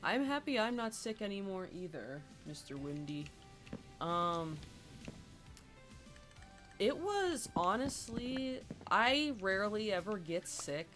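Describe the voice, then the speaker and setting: A live streamer chatting casually while playing a building game, sounding congested, hesitant with many ums and restarts, and clearing the throat often. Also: mid-range voice, very animated, slow, a bit bright. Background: game music.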